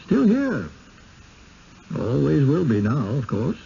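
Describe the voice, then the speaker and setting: Mellow, folksy rural American servant. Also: male voice, medium pitch, huge pitch swings, vocal fry, full character voice. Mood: urgent, foreboding. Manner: halting, homespun, plain-spoken. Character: folksy, uneasy servant in an eerie village. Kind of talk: 1970s radio drama acting. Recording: muffled, noisy old radio broadcast.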